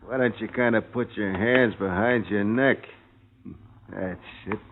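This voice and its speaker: A man's voice: fairly low pitch, measured pace. An actor playing a sneering, taunting gangster henchman in an old radio crime drama. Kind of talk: 1940s-50s radio crime drama acting. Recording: noisy, tinny old radio recording.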